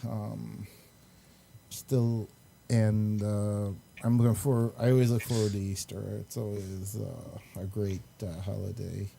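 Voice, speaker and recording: A man hosting a podcast in a casual, chatty way, with halting and hesitant speech. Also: lowish voice, flat delivery, gravelly, mellow, a little hiss or hum. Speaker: podcast host. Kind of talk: casual chat.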